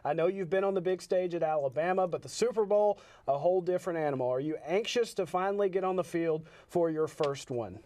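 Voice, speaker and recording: A man with a fairly high-pitched voice works as a sports show host. He moves between calling highlights in an upbeat broadcast style and asking interview questions. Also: brisk, hardly any pauses, warm tone.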